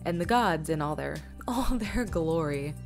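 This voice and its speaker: A woman with a notably low voice hosts a casual Q&A. She rambles informally, blunt and a bit geeky, while music plays clearly behind her. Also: animated, measured pace. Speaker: Q&A host. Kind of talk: casual chat.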